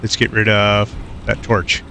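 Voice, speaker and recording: A man's voice: lowish voice, completely flat delivery, measured pace, creaky, pushed and bright, slight background noise. A man casually commenting to himself while playing a video game, easygoing and muttering with plenty of fillers. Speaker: let's play gamer.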